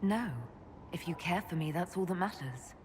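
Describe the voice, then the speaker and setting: A woman playing a calm, caring noble leader with a gentle, direct manner in video game dialogue. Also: lowish voice, moderate pace, a little hiss or hum, natural voice. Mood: gentle, curious.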